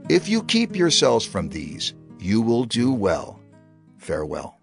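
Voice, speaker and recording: An actor playing an earnest, authoritative church elder in a dramatized scripture reading, with declamatory, measured phrasing. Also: male voice, medium pitch, wildly swooping pitch, vocal fry, over music, exaggerated voice. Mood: formal, earnest.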